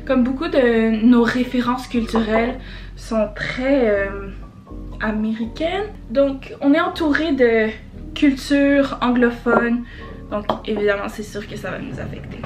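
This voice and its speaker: A woman's voice: on the high side, unhurried. A young woman with a Québécois French accent, chatting casually through a makeup tutorial, hesitating often and slipping in English words. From Quebec, Canada. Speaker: beauty vlogger. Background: background music.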